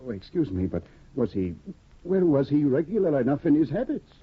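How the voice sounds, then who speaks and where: Absent-minded husband: eager, fussy, hesitant; raspy, thin 1950s broadcast audio. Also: male voice, on the high side, very animated, fairly quick. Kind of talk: 1950s radio drama acting. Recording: tinny, phone-like 1950 radio broadcast.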